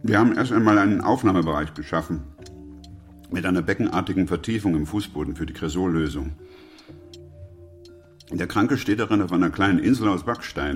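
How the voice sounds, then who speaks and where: An actor playing a sober, dutiful doctor who also narrates a radio drama, in a measured and restrained manner. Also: male voice, sits low, lightly acted. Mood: weary, matter-of-fact. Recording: music behind the voice. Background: music.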